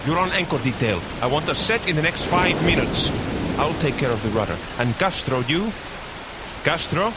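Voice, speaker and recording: An actor playing a stern, commanding sea captain, giving orders and slipping into in a radio drama. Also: male voice, a little high, lively intonation, normal speed, lightly acted. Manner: barks orders, slips into Spanish. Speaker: ship captain. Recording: loud rain and storm noise.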